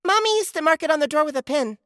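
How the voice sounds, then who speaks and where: A woman voicing an eager, excitable very young girl in a science fiction audiobook. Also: high voice, fast, no echo, clean studio sound.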